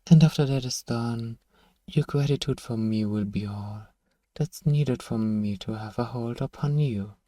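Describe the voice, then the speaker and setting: A man voicing a seductive, manipulative vampire lord in an ASMR roleplay, coaxing and possessive, with a breathy voice that often drops toward a whisper. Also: medium pitch, mellow, no room sound, far from the mic, close to an everyday voice. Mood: possessive, smooth. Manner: slow, soothing, coaxing, possessive. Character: seductive, manipulative male vampire lord hypnotising captive prey.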